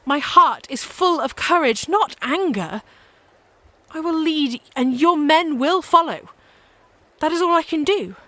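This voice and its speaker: Young female holy warrior, radio play, impassioned, declamatory, high bright voice, very dry close sound. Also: animated, measured pace, dry recording. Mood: fervent.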